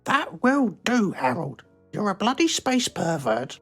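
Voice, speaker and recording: A fed-up mother acted by a woman with a husky voice. She is blunt and exasperated, a scolding parent from a mockumentary game. Faint music sits behind her. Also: mid-range voice, sing-song, measured pace. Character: fed-up, scolding British mother of a grown son.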